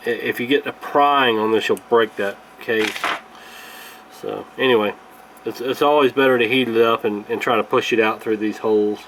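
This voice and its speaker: A man giving a casual hands-on repair tutorial in a halting, unscripted, explanatory way. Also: medium pitch, a bit bright, poor audio. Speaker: radio repair video host. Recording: clear background noise.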